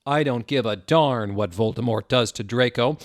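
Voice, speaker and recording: A man's voice: medium pitch, normal speed, no room sound, studio quality, lightly acted. A man voicing a stern, spiteful old wizard headmaster in a comic story reading, scornful and emphatic.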